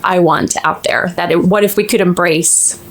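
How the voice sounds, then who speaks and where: A woman's voice: fairly low pitch, fairly even intonation, normal speed. A woman in her forties from Sweden with a Swedish accent, chatting animatedly as an interview guest. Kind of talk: casual interview chat.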